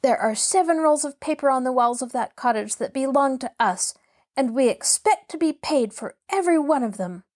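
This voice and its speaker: Woman as a high-pitched, indignant young girl. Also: lively intonation, moderate pace, a little mellow. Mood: haughty, outraged. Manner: emphatic, dramatic, wounded pride. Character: fiery, indignant young girl with haughty dignity.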